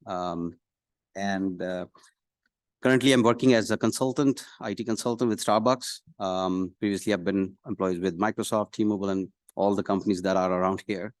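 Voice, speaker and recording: Low-voiced middle-aged man, halting speaker. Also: no room sound. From Pakistan. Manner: halting, pausing often mid-phrase. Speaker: city council candidate. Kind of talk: self-introduction at a public meeting. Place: council meeting room, speaking at a podium.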